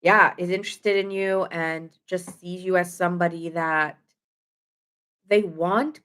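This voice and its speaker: A woman doing a casual live tarot reading, chatty and rambling with fillers and self-corrections, her nose stuffy. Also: lowish voice. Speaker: tarot reader.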